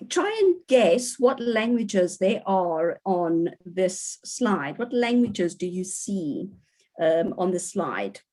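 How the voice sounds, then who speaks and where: A woman's voice: lowish voice, sing-song, slow pace, warm tone. Chatty woman lecturing online. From South Africa. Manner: chatty, many fillers, reads out audience answers. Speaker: university lecturer.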